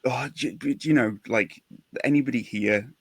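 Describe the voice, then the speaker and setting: A man's voice: mid-range voice, moderate pace, breathy edge, no echo. A man hosting a casual podcast chat in an encouraging, enthusiastic manner. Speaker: podcast host.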